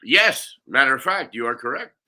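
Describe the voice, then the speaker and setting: A man's voice: mid-range voice, sing-song, moderate pace, bright, forceful tone. A man appearing as a guest broadcaster on a sports talk show, giving confident opinions and chatting about his schedule.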